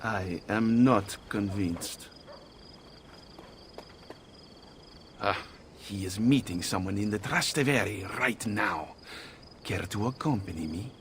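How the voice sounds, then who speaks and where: An actor playing a suspicious, sardonic master thief in a video game cutscene. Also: male voice, on the low side, a little slow, a little hiss or hum, natural voice. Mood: skeptical.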